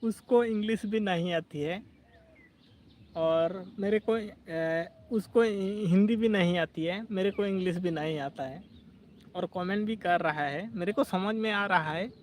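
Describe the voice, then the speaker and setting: A man's voice: high voice, measured pace, slight background noise. A man hosting a casual livestream, chatting, reading out viewer comments and laughing often. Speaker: livestream host.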